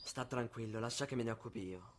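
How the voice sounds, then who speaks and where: A man's voice: mid-range voice, quick pace, noisy background, close to an everyday voice. A man voicing a composed, condescending teenage soccer rival in an anime fandub. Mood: calm. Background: anime soundtrack.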